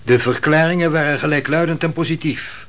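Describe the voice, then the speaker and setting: A man's voice: a little high, brisk, a bit bright, close to an everyday voice. A man acting a stiff, dutiful official in a Dutch radio play, speaking formally. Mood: stern, formal. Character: stiff, dutiful male official giving testimony.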